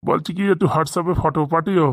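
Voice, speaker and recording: A man voicing an eager, bossy village gentleman in a comic cartoon, rambling in folksy dialect. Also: a little high, fairly even intonation, normal speed, creaky, no echo. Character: eager marriage-hungry village gentleman, bossy boss to two helpers. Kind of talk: comic Bengali cartoon dialogue.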